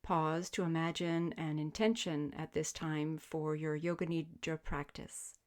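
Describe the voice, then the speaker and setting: A woman guiding a sleep meditation as a yoga teacher, speaking in gentle, soothing, measured invitations. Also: low-pitched, fairly even intonation. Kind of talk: guided sleep meditation, spoken instruction.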